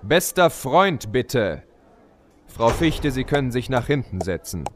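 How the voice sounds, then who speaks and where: A man's voice: mid-range voice, big pitch swings, slow, noisy background. A man playing a formal, easily exasperated judge keeping order in a comedy courtroom audio play.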